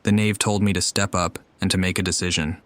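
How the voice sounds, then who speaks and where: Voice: low-pitched, fairly even intonation, brisk, heavy background noise. A gamer commenting on a video game playthrough, reading along in role and reacting off the cuff. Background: game music.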